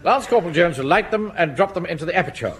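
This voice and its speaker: Casting: a bossy middle-aged English Home Guard captain, officious and exasperated, highish, very lively pitch, vintage sitcom. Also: male voice, quick pace. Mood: briskly instructing. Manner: officious, barking orders. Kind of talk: broadcast radio sitcom acting. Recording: noisy vintage radio comedy recording.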